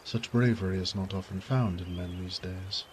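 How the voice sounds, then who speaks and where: A dutiful noble knight voiced by a man in an audio drama. He is formal and courteous, with a low pitch and measured phrasing. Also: steady tone, slight background noise, close to an everyday voice. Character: formal, dutiful young lord, knight and elder brother. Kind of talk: audio roleplay drama acting.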